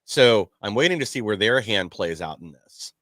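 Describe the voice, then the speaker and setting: A man's voice: on the low side, animated, moderate pace, fairly bright tone, dry recording. A man co-hosting a live stream, talking in a modest, easygoing way with some hesitation. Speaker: co-host. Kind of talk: casual live-stream commentary.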